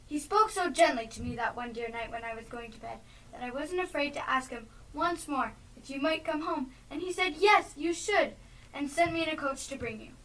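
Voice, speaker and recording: A woman's voice: high voice, animated, moderate pace, fairly bright tone. A woman on stage playing a loving, eager little girl, the younger sister in a play. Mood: joyful, tender. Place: theatre stage. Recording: live stage, distant mic.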